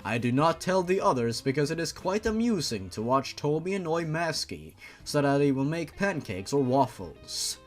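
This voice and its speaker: A stately supernatural father from a horror fan story, acted out by a male reader, speaks formally and precisely. His measured phrases swing widely in pitch, with faint music behind. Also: big pitch swings. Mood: amused, formal.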